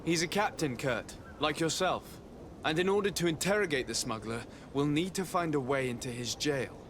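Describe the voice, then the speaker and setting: A man's voice: fairly high pitch, animated, moderate pace, background noise, lightly acted. A man playing a courteous, inquisitive young nobleman envoy in video game dialogue, polite, formal and composed. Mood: polite, curious.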